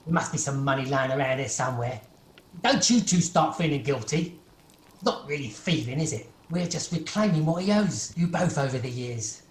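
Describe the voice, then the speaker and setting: A man's voice: medium pitch, lively intonation, moderate pace, close to an everyday voice. A man playing an uncouth, smug, scheming thief in an amateur radio play. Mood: scheming, vindictive. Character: uncouth, unkempt, scheming thieving husband. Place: at home, recorded over video call. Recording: echoey room, faint noise, video call.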